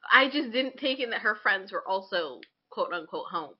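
A woman's voice: medium pitch, expressive, normal speed, husky, lo-fi sound. A woman co-hosting a podcast in casual, opinionated chat, blunt and informal, acting out imagined quotes. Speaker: podcast co-host.

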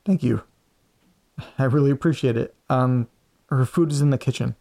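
A man's voice: medium pitch, normal speed, creaky, mellow, lightly acted. A man playing an anxious, scatterbrained, polite young man in an audio drama, speaking in a hesitant, rambling way. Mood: hesitant, apologetic. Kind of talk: naturalistic audio drama acting.

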